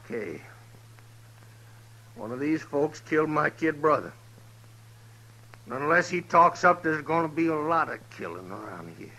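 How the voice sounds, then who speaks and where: A vengeful Western outlaw chief with a harsh, cutting edge and a gravelly rasp. He threatens in rough rural talk that swings widely, in the manner of 1950s radio drama. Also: a little high, very animated, unhurried, pauses often, sharp tone, full character voice, poor audio. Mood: menacing, demanding. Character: vengeful male outlaw gang leader, menacing and volatile. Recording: old 1950s radio recording.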